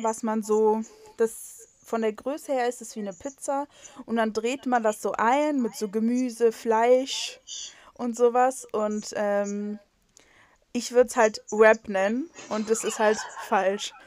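A woman's voice: fairly high pitch, fairly even intonation, slow pace, a little mellow. A young woman from western Germany hosting a casual podcast over a video call, rambling and self-mocking, with lots of fillers and restarts. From North Rhine-Westphalia, Germany. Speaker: podcast co-host. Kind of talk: casual chat. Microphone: video call recording.

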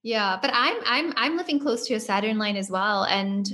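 A woman's voice: a little high, animated, moderate pace, crowd noise. A woman hosting a casual podcast interview, talking in a friendly way with fillers like "kind of" and polite thanks to her guest. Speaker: podcast host.

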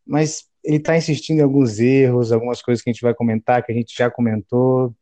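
A man from Brazil co-hosts a football chat with a mellow, dark-toned voice. He talks in easy street slang and lays out his points calmly. The sound is dry and close, with no room echo. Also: mid-range voice. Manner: laid-back, slangy, measured. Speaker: podcast co-host.

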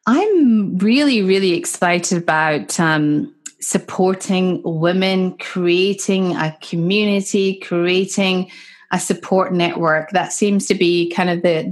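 A woman's voice: fairly low pitch, slow pace. A woman guest on a podcast, a marketing coach, answering eagerly with small hesitations. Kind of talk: interview answers.

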